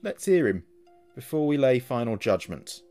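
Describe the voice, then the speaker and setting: A man voicing a stern, self-righteous night watchman who is loud, commanding and accusatory in a horror story. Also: medium pitch, very animated, moderate pace, soft background music, voice pushed up, close to an everyday voice.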